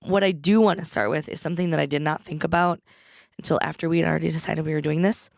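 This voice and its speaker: Hoarse froggy-voiced woman podcast host. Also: low-pitched, fairly even intonation, fast talker, no echo, clean studio sound. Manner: chatty, laughs often. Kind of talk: casual chat.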